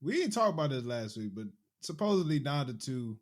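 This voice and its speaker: Male host, easygoing, quick to laugh, steers casual talk. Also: medium pitch, very animated. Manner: laid-back, laughs often. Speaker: podcast host. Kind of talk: casual chat.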